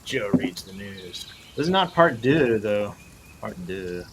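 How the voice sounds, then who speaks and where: A man's voice: fairly low pitch, very animated, takes it slowly. A man hosting a casual, jokey live show in a playful, theatrical, rambling way. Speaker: host. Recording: noisy, with nature sounds behind. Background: forest and nature ambience.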